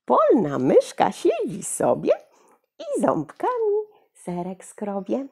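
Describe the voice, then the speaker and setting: A woman's voice: fairly high pitch, wildly swooping pitch, slow, vocal fry, a little mellow, breathy edge. A middle-aged woman telling a children's story theatrically, speaking directly to young listeners. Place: small furnished room at home, indoors.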